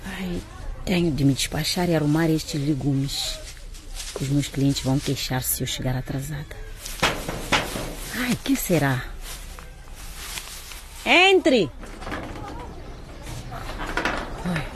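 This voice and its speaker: Scolding, animated, low-pitched mother. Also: takes it slowly, pauses often, slight background noise, full character voice. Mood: busy, brisk. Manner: scolding, sarcastic, exclamatory. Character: hard-working, indignant market-trader mother, sharp-tongued and unforgiving. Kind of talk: radio drama acting.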